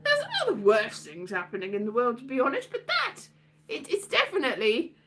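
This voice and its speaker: A woman's voice: fairly high pitch, huge pitch swings, brisk, noisy background. A woman giving a rambling, casual comic monologue with a theatrical, wry, exasperated manner, slipping into sing-song and mimicked exchanges. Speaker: solo performer of a radio play monologue.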